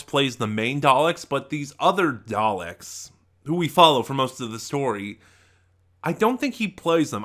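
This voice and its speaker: A man giving a casual, unscripted review to viewers, halting and rambling as he often trails off mid-thought. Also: mid-range voice, fairly bright tone. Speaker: YouTube reviewer.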